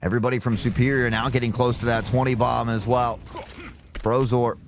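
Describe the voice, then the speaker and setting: A male esports caster with a slightly sore throat, calling play-by-play and erupting into loud shouts on big plays. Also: medium pitch, little pitch movement, brisk, a little hiss or hum.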